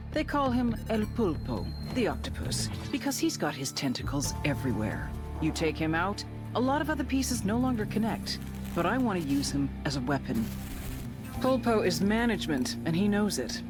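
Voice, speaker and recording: An actress playing a tough intelligence handler in a game, a giving an assured, matter-of-fact mission briefing. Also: female voice, on the low side. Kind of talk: scripted mission briefing narration. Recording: music behind the voice.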